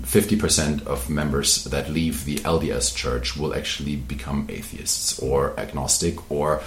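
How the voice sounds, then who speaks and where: A man's voice: very deep voice, echoey room. A man hosting an interview podcast, talking earnestly at length with many fillers. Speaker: podcast host.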